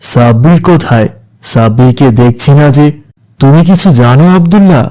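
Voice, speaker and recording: A man's voice: medium pitch, animated, moderate pace, mellow, natural voice, rough recording. A man playing a kindly, attentive religious teacher of young boys in a children's cartoon. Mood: encouraging, inquiring. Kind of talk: children's moral cartoon dialogue.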